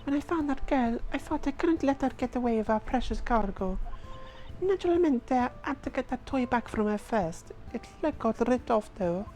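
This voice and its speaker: A woman's voice: a little high, animated, moderate pace, a little mellow, rough recording. A woman fan-dubbing game dialogue, reading lines aloud with the odd slip and aside. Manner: reads dialogue aloud with occasional slips and asides. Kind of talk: fan dub of a game playthrough. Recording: faint game music behind. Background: game music.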